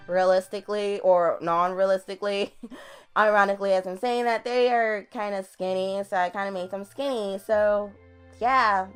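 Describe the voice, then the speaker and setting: A young woman with a deep voice, recording a casual late-night game voiceover at home on a desktop mic, chatty and self-deprecating. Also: fairly bright tone, smooth. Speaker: gaming YouTuber. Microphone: desktop USB mic.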